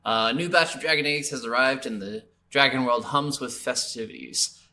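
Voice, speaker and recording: A man with a mid-pitched, bright and crisp-edged voice. He talks casually and agreeably as a co-host on a gaming podcast. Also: normal speed. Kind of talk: casual chat about video games.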